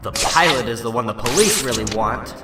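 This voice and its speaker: Determined wisecracking superhero , male reader, melodramatic thinking aloud, lively, echoey room, comic-book drama. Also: medium pitch, a little fast, close to an everyday voice. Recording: roomy, distant mic, loud music underneath.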